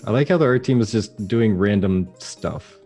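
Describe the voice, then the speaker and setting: Deep, mellow-voiced man joking around. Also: expressive, moderate pace, soft background music. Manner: joking, laid-back. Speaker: podcast co-host. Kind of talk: casual podcast chat.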